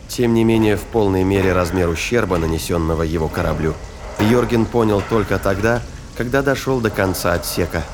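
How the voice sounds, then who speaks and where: Male narrator, low register, scripted science fiction storytelling, noisy bed of effects behind the voice. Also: brisk. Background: ambience and sound effects.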